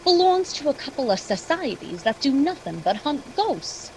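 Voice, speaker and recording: Woman acting high, animated, folksy take-charge gal. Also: moderate pace, slight background noise, poor audio. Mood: casual. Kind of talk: radio drama acting.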